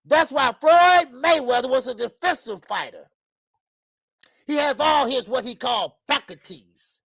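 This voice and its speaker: A man in his fifties hosting a live boxing talk stream, loud and profane, rambling and greeting viewers by name. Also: very high-pitched, expressive, unhurried, vocal fry, no room sound. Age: 50s. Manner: frequent laughs and stretches of filler. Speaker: boxing show host. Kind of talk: live-stream commentary chat.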